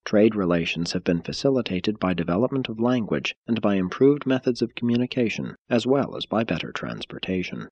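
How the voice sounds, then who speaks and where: A man reading a formal scripted audiobook as narrator, with measured, deliberate and emphatic phrasing. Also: lowish voice, big pitch swings, darkish tone, breathy edge, no room sound, dull audio.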